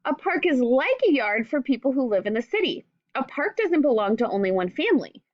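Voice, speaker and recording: A woman's voice: sits high, very animated, a little fast, no room sound, dull audio. A woman teacher reading a children's picture book aloud, speaking directly to young listeners. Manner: addresses young listeners directly with simple questions. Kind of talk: children's read-aloud with questions and asides.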